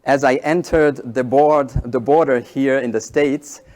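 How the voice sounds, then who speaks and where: A man in his forties whose first language is German, giving a conference keynote in halting English with animated storytelling. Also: medium pitch. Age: 40s. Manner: animated storytelling, halting non-native phrasing. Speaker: keynote speaker.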